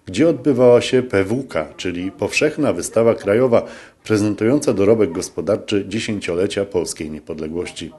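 A man's voice: lowish voice, a little mellow, breathy edge. A man reading scripted narration for a local news report.

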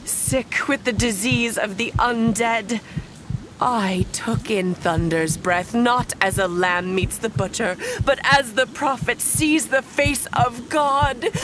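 A woman playing the undead young Bride of Frankenstein in a gothic horror audio drama, solemn, hushed and breathy in a poetic monologue. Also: fairly high pitch. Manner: breathy, hushed, incantatory, deliberate.

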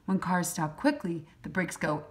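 A woman reading a children's picture book aloud as a teacher, in simple, deliberate short phrases. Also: lowish voice, animated, noisy background. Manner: acts out sound effects. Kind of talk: children's storybook read-aloud.

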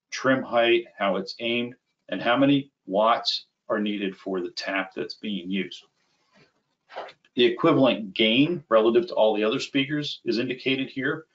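A middle-aged man giving a conversational, step-by-step software demo as a webinar presenter. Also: mid-range voice. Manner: conversational, unhurried step-by-step explaining. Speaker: webinar presenter, applications engineer. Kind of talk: live software demo, explanatory. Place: small indoor room at a computer. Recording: slightly dull, band-limited webinar audio.

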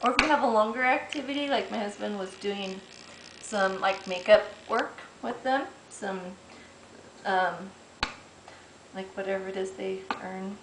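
A woman's voice: mid-range voice, unhurried. A middle-aged woman hosting a casual home cooking demonstration. She is chatty and homey and thinks aloud with fillers while working the dough. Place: large home kitchen. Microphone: camera mic, a few steps away. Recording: echoey kitchen with clear background noise.

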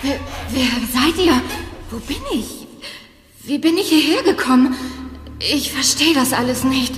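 A bewildered young captive. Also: female voice, sits high, roomy sound, big-room sound. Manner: bewildered, slow, halting. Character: confused young woman captive.

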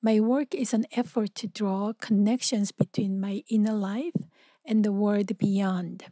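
A woman with a Korean accent reading a prepared statement about her artwork in a careful, measured way. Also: mid-range voice, steady tone, warm tone, no echo, clean studio sound. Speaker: exhibiting artist.

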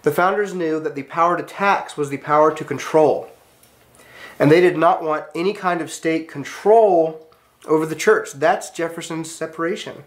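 A man's voice: fairly high pitch, moderate pace. An American man teaching a class, giving a storytelling lecture in an earnest, emphatic manner with short declarative sentences.

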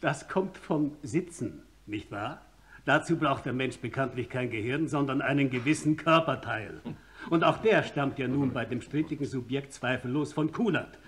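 An actor playing an authoritarian government minister in a German radio play, pompous, rhetorical and sardonic. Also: male voice, mid-range voice, moderate pace, a little hiss or hum, natural voice.

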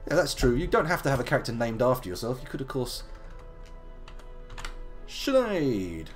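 A man in his thirties, gravelly from a slight cold, chatting playfully through a live game stream. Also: medium pitch, big pitch swings, normal speed, soft background music. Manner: chatty, playful, wandering asides. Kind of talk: casual live game commentary.

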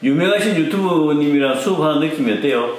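A man's voice: a little high, expressive, quick pace, very echoey, low-quality recording. A middle-aged man with a Gyeongsang accent, a teacher hosting a casual Q&A and teasing while reading viewer questions. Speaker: academy teacher and channel host. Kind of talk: casual Q&A chat. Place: small classroom indoors.

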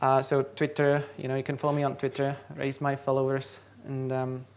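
A man's voice: mid-range voice, completely flat delivery, fairly quick, a little hiss or hum. A young man from the Czech Republic, a native Czech speaker, giving a conference talk in a lecture hall and quizzing the audience. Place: large lecture hall, on stage.

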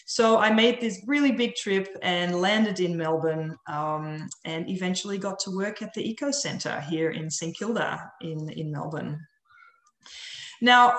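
A woman's voice: lowish voice, fairly bright tone, roomy sound. A woman with a Dutch accent, a marine biologist giving a friendly online presentation over a video call. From Netherlands. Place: home room on video call. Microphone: video call audio.

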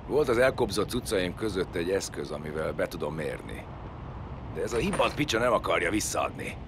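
A sardonic male captive in a sci-fi radio play. His low voice swings with cocky, foul-mouthed defiance over clearly noisy ambience. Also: big pitch swings. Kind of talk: science fiction audio drama acting.

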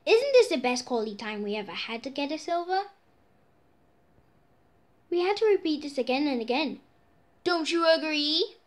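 A performer voicing a stern, coolly controlling female cat in an amateur comic dub, measured and condescending. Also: sits high, big pitch swings. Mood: smugly stern.

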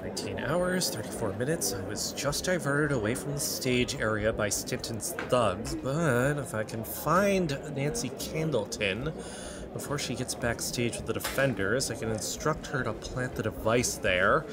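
A man playing a pompous, bumbling amateur sleuth in a fiction podcast, deliberate and easily flustered. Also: mid-range voice, lively intonation, loud music behind the voice, lightly acted. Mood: secretive, self-serious. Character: bumbling, self-important amateur sleuth narrating his covert mission. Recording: loud crowd chatter around him.

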